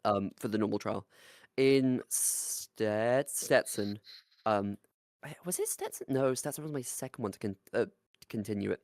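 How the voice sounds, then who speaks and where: Animated, sweary podcast co-host with a dry sound. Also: mid-range voice, unhurried, no echo. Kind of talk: casual chat.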